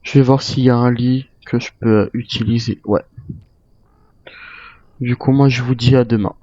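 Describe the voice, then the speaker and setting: A man's voice: medium pitch, level tone, normal speed, mellow. A man improvising a survivor roleplay in a game, hesitant and musing aloud to himself as a weary retired soldier. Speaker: gamer role-playing a survival game. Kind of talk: improvised in-character roleplay monologue. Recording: dull, roomy microphone sound.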